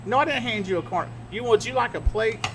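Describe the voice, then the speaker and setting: A man's voice: high voice, fast, noisy background. A man hosting a podcast, joking through a casual chat over food. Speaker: podcast host.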